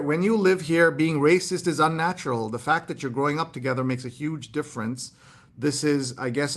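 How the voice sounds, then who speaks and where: A man hosting a live newspaper read-along, reading headlines aloud and commenting casually in a halting, think-aloud way. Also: medium pitch.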